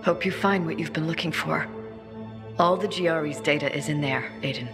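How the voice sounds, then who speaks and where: A woman's voice: deep voice, brisk. An actress playing a determined, grieving woman survivor in a video game cutscene, with earnest, emotive, naturalistic delivery. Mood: subdued, resolute. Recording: music behind the voice.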